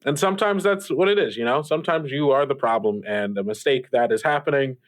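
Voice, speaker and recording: Male podcast host, mid pitch, rambling and self-deprecating, informal address to listeners, dry close recording. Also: no room sound. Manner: rambling, self-deprecating, halting with repeated words. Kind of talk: casual radio-DJ style host chat to listeners.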